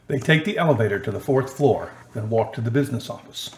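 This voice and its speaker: A man's voice: mid-range voice, animated, fast, slight background noise. A man giving scripted radio drama narration in an echoing space. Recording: roomy, echoing recording.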